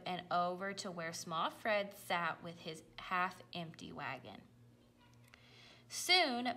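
A woman reading a children's picture book aloud, with careful, expressive delivery. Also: medium pitch. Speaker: storybook reader.

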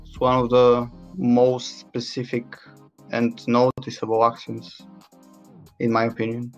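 A man's voice: mid-range voice, unhurried, dull audio. Guest on a stream, a man from Bulgaria, easygoing and hesitant, faint music behind. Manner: relaxed, hesitant, many fillers. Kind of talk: casual chat.

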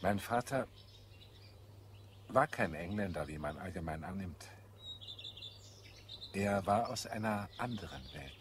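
An actor playing an earnest, idealistic scholar in a radio play, speaking in a solemn, measured, visionary way. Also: male voice, low-pitched, lively intonation, background noise. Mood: solemn, confiding. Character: earnest idealistic male scholar, secretly half Martian. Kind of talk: German radio play acting. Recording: clear background birdsong and ambience. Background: birdsong in a garden scene.

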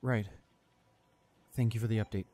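A man playing a distraught, tender young boyfriend in an intimate audio roleplay, speaking in halting, broken phrases. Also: on the low side, fairly even intonation, mellow, close to an everyday voice. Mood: grieving, guilty.

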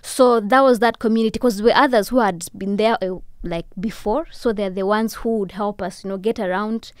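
A woman's voice: mid-range voice, fairly even intonation, a little fast, dry recording. Rwandan-born young woman, dry studio mic. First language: Kinyarwanda. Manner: warm storytelling with soft laughs and many "you know"s. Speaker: guest. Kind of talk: casual interview chat. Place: podcast studio, indoors. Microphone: studio boom mic with headphones.

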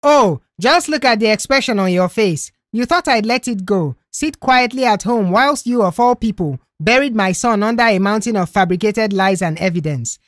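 A man's voice: high-pitched, lively intonation, moderate pace, hardly any pauses, a bit bright, no echo, studio quality, voice pushed up. A man voicing a combative, outraged mother in an audiobook, accusing and confrontational. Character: combative, outraged mother defending her grown son. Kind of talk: audiobook character dialogue.

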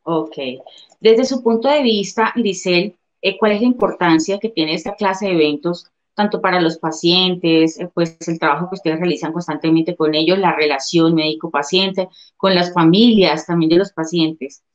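Lowish-voiced woman interviewer on video call. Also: fairly even intonation, normal speed. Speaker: journalist, interviewer. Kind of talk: interview questioning. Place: living room at home, indoors. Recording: dull video-call audio.

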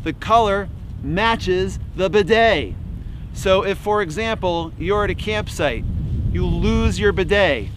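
Man, 50s, slightly high voice, dry mock-salesman humor, deadpan comic pitch, outdoor woods. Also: slow pace, noisy background. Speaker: outdoor gear vlogger. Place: outdoors in bare winter woods.

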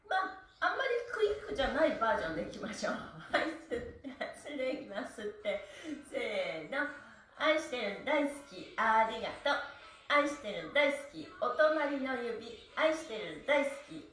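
Cheerful high-voiced woman teaching stretches. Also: fairly bright tone. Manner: cheerful, playful, chatty, laughs often. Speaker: stretch instructor. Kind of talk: live-streamed stretch lesson. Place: small room at home, on a floor mat. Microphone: camera across the room. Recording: roomy echo, far from the mic, faint music.

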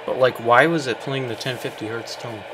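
Man, casual vlog commentary, halting and puzzled, handheld camera mic, heavy noise, indoors. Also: mid-range voice, fairly even intonation. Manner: puzzled, halting, thinking aloud. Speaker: vlogger, weather radio hobbyist. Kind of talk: casual off-the-cuff commentary while demonstrating gadgets. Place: small room indoors. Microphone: handheld camera microphone. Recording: very noisy camera audio, radio static.

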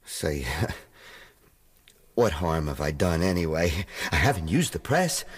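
A cocky american crook, laid-back drawl, lots of swing in the pitch. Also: male voice, sing-song, slow, slightly rough, exaggerated voice. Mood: brash. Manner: swaggering, chuckling, drawling slang. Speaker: John Garrideb, counterfeiter's accomplice. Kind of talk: radio drama acting.

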